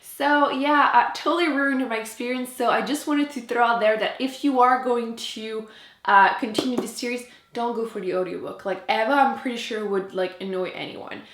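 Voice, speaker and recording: A woman's voice: fairly high pitch, steady tone, moderate pace, a bit bright, reverberant. A French Canadian woman, a native French speaker, chatting casually and emphatically to camera as a book reviewer.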